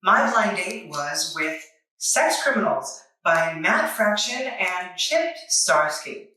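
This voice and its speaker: Female reviewer, bright and wry, recorded in a very echoey room a bit away from the mic. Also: on the low side, big pitch swings, slow pace. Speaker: comic book reviewer, video host. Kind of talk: semi-scripted review narration. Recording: echoey room, distant microphone.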